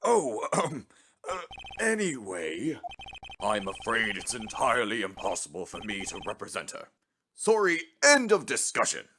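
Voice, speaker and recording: Pompous, wildly swooping older lawyer. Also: male voice, on the low side, wildly swooping pitch, sharp tone, slight background noise, exaggerated voice. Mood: flustered, evasive. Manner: pompous, theatrical, halting with hums and throat-clearing. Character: pompous, blustering older male lawyer, evasive and theatrical. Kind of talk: amateur video game fan dub acting.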